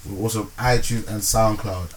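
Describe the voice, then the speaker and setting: Relaxed male podcast host, lowish voice, faint noise behind. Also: normal speed. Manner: relaxed, laughs often, filler-heavy. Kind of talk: casual podcast chat.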